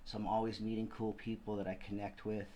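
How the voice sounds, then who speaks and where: A man's voice: on the low side, flat delivery, fast, mellow. A Thailand-born man, hosting a live video podcast with an enthusiastic, rambling, gushing way of talking. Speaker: podcast host. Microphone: video call.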